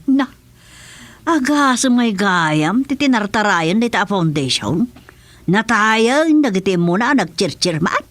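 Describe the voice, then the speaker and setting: A woman's voice: mid-range voice, very animated, takes it slowly, fairly bright tone. A woman playing an older, chatty, questioning village wife in a radio drama comedy, with an Ilocano accent. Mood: questioning, nagging.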